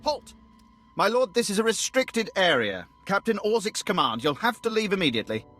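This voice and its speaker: An actor playing a rigid, nervous imperial guard in a video game, curt and military in manner. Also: male voice, high-pitched, very animated, moderate pace, sharp tone, soft background music, exaggerated voice. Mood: tense, stern.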